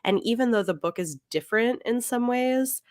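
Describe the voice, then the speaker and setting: A woman's voice: fairly high pitch, lively intonation, normal speed, darkish tone, no room sound, clean studio sound. A woman co-hosting a podcast, chatting casually about books in a tentative, conversational way. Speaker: podcast co-host. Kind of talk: casual book discussion.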